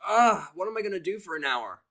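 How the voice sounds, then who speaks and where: Casting: male reader as cocky young cartoon mare, pitched way up, loud and boastful. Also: animated, brisk, full character voice. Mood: indignant, restless. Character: brash, boastful young female cartoon pegasus pony.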